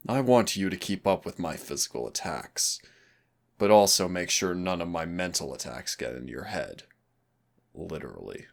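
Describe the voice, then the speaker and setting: A man's voice: low voice, animated, normal speed, vocal fry, heavily acted. A man playing a smug, predatory demon trainer who taunts and commands in a scripted roleplay audio. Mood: commanding, taunting. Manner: taunting, commanding, mock-courteous. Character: teasing, predatory male demon hunter-trainer, smug and dangerous.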